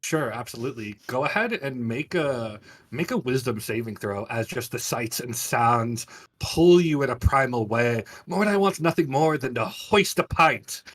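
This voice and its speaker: A man's voice: medium pitch, lively intonation, moderate pace, a bit bright. A man narrating a tabletop fantasy game as game master, setting scenes in a vivid, theatrical storyteller's way.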